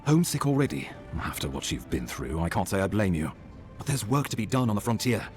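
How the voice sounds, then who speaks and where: An actor playing a concerned imperial official in a fantasy video game. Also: male voice, fairly low pitch, sing-song, a little fast, a little mellow, soft background music. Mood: sympathetic, businesslike. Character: relieved, concerned male imperial official welcoming an envoy.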